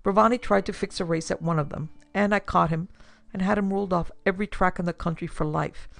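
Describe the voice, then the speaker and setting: A narrator voicing a quiet, apologetic older gentleman with a mild, measured manner in an audiobook story. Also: medium pitch, steady tone, creaky, no room sound. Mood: mild, explaining.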